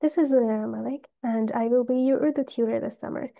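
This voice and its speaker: A woman's voice: on the high side, fast, vocal fry, dark tone. A young adult woman from Pakistan with a Pakistani accent, a language tutor introducing herself unscripted, earnest and piling up listed phrases with fillers. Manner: earnest, listing phrases one after another, with frequent fillers. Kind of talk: unscripted self-introduction to camera.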